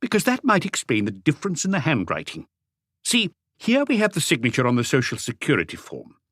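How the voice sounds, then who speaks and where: A man voicing an eager, precise, excitable male handwriting expert explaining things in an audiobook. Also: on the high side, brisk, no echo, studio quality, voice pushed up, heavily acted.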